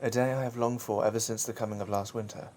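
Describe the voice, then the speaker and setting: A man playing a poor, gentle young man, polite and weary but loyal, in an audio drama. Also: fairly low pitch, steady tone, quick pace, a little hiss or hum, close to an everyday voice. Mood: wistful. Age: young adult.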